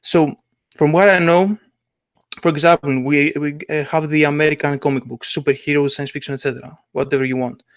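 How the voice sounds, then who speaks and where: A man co-hosting a casual sci-fi podcast, speaking haltingly with many fillers and clear enthusiasm. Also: a little high, steady tone, no echo. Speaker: podcast co-host, comic artist. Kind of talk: casual chat.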